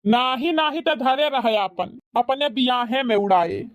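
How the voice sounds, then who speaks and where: A woman playing an excitable, boastful old village uncle in a comic cartoon, with an Awadhi accent and theatrical grumbling. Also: a little high, measured pace, no echo, clean studio sound. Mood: blustering.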